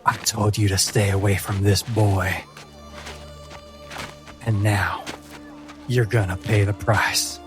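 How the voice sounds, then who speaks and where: A man's voice: lowish voice, steady tone, slow pace, long pauses. A hulking, hard-hearted village patriarch played by a male game master gives orders and threats in a tabletop fantasy tale. He is menacing and commanding, with music running underneath. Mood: cold threat.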